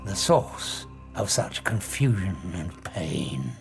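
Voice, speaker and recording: A man's voice: low-pitched, very animated, slow, gravelly, over music, exaggerated voice. An actor playing an obsessive, cold scientist who tells his story with theatrical, savouring relish in an audio drama. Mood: cold, rapt. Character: obsessive scientist, invisible man, darkly exultant and cold.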